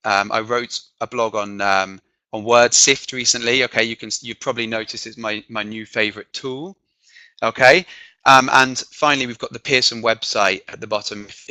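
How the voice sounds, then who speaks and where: A man from the south of England, with an accent mixing southern and northern English, presenting a teaching webinar. He checks in with "okay?" often and fills pauses with um. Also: mid-range voice, pushed and bright, no echo, poor audio. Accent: mixed southern and northern English. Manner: frequent "okay?" check-ins and filler ums. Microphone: computer microphone on a video call.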